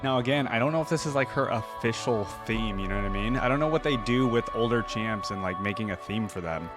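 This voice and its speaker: A man's voice: lowish voice, lively intonation, fast talker, music in the background. A man giving casual reaction commentary as a host, speaking informally and thinking aloud.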